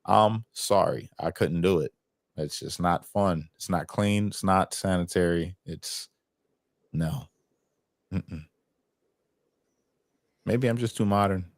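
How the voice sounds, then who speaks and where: A man's voice: low voice, slow, pauses often, no room sound. An American man chatting casually on a political livestream in colloquial, streetwise slang. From United States. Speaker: livestream host.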